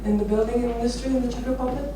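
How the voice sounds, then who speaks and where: Female presenter from Romania, 30s, relaxed storytelling with hesitant phrasing; captured distantly in a reverberant venue with clear background noise. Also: fairly high pitch, racing. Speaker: architecture photographer giving a conference talk. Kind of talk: informal presentation with personal stories. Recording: echoey noisy venue, distant microphone.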